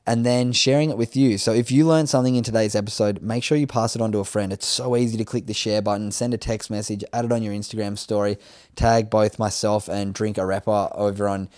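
A man's voice: on the low side, fairly even intonation, a little fast, darkish tone, dry recording. A man speaking casually to listeners as a podcast host, close on the mic.

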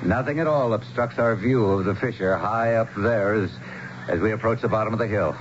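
An actor playing an earnest, anxious, educated town leader, speaking formally and haltingly as he explains, in a radio drama. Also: fairly low pitch, natural voice. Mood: earnest, eager. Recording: muffled, noisy 1970s radio broadcast.

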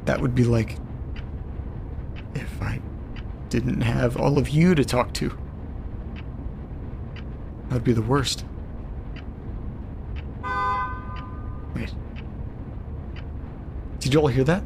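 Faint noise sits behind an uneasy, lonely man in an audio drama. He reads eerie letters aloud as a monologue, in a middle-pitched voice. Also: takes it slowly, creaky, long pauses. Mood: uneasy, startled. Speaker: narrator.

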